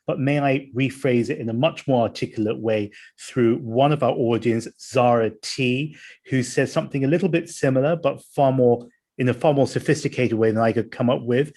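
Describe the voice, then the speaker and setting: A man moderating a panel discussion, speaking in a measured way and reading out audience questions. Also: mid-range voice, a little mellow.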